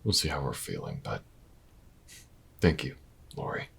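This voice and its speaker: An actor playing a weary, wry, protective investigator in an intimate audio drama, low-key and dry, with hesitant pauses. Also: male voice, expressive, fairly bright tone, exaggerated voice. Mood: weary resolve. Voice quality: very deep, gravelly, husky. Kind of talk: audio roleplay acting, intimate and naturalistic.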